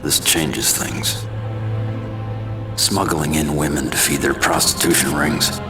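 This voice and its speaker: A man playing a grim, cold vigilante in a video game, narrating in terse, clipped phrases with a gruff voice. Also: fairly even intonation, slow, exaggerated voice. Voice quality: gruff, low voice. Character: grim, cold male vigilante, hard and relentless. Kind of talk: scripted game narration, inner monologue. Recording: roomy, distant, loud music.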